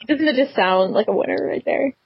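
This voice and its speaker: A woman's voice: high-pitched, animated, fairly quick, gravelly, breathy edge, no echo. A woman from the Detroit area chatting on a podcast, rambling and hesitant as she searches for names. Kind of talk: casual chat. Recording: dull lo-fi online call.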